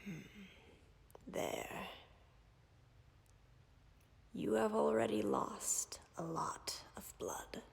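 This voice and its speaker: A woman voicing a calm, ancient female dragon who tends a wounded human, speaking in a whispery, gravelly, measured and formal way in an audio roleplay. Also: mid-range voice, big-room sound, heavily acted. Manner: measured, formal, dryly commanding.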